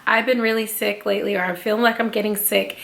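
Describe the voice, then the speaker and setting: A woman's voice: medium pitch, flat delivery, quick pace, gravelly, fairly bright tone. A young adult woman reviewing a wig in a casual beauty vlog, chatty and slangy, with her voice a little off from feeling sick. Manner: chatty and slangy, addresses viewers as sis and y'all.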